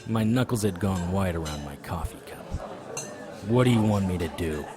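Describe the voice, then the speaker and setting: A deep-voiced hard-bitten hunter. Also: male voice, expressive, normal speed, a crowd in the background. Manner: dry, wry noir delivery. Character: hard-bitten male monster hunter narrating. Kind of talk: noir first-person narration and dialogue.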